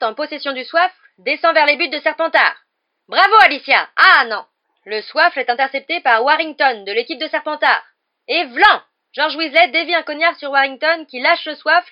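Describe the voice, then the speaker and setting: A woman voicing an excited, partisan schoolboy shouting cheeky live sports commentary in an audiobook. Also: sits high, muffled recording, voice pushed up. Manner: exclamatory, shouting, openly biased.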